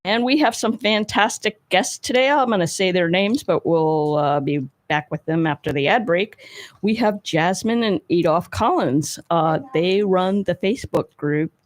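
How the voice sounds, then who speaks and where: Chatty woman radio host, lowish voice. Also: moderate pace. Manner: upbeat, chatty, moves the show along. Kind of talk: casual radio talk.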